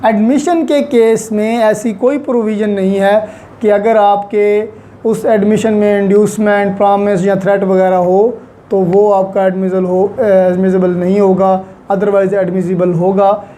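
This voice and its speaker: Mellow-voiced Pakistani woman lecturing. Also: medium pitch. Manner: deliberate, repeats key points. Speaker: law lecturer. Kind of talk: explanatory lecture mixing in English legal terms. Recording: faint steady background noise.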